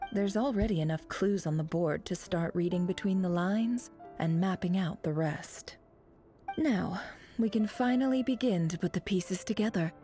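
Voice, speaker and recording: A woman playing a composed, shrewd mind reader in a video game, musing in a measured, self-assured way. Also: fairly low pitch, mellow. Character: composed, shrewd, analytical woman reading others' minds. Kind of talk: video game character monologue.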